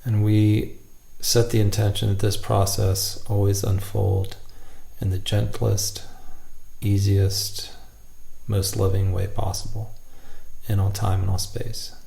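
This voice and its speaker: A man leading a guided meditation, speaking gently and unhurriedly in short phrases with long pauses. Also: lowish voice, level tone, gravelly.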